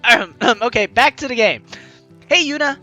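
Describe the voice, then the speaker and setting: A woman's voice: on the high side, a little fast, bright, forceful tone, dull audio, soft background music. A young woman hosting a let's play, reading game text aloud theatrically with playful, chatty asides. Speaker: gaming YouTuber, host.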